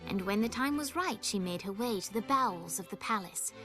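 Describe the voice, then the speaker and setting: An actress voicing a storybook narrator in a video game, with measured, literary phrasing. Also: female voice, medium pitch, lively intonation, over music, natural voice. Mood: storytelling. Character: storybook female narrator of an infernal fairy tale.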